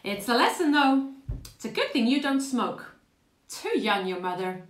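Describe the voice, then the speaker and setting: A woman acts out a blunt, fond, working-class older woman in a stage monologue. The voice is high and very lively, chatting through old memories. The room echoes around it. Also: moderate pace. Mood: wistful. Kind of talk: dramatic stage monologue, conversational storytelling. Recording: roomy, echoing space.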